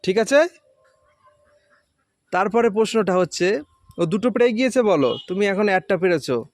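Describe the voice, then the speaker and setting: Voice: medium pitch, animated, moderate pace, no room sound, studio quality. A quiz host, informally questioning children and patiently explaining and repeating the answers.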